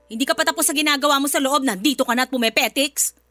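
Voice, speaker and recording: A woman's voice: high voice, quick pace, pushed and bright. An actress playing a bossy, hot-tempered, spiteful woman of the household in a Filipino radio drama, scolding and haughty with a Filipino accent. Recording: background music under voice.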